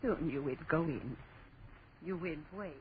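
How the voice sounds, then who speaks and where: Eerie, hushed old French hostess. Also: female voice, low-pitched, lively intonation. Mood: politely sinister. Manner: slow, hushed, formal, mysterious. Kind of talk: 1930s-40s radio horror acting. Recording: dull, noisy vintage radio recording.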